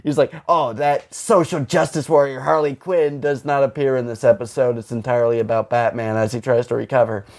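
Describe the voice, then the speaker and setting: A man's voice: mid-range voice, little pitch movement, a little fast, hardly any pauses, a little mellow. A man hosting a podcast in casual, comedic pop-culture chat, rambling and swearing freely and acting out imagined dialogue. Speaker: podcast host.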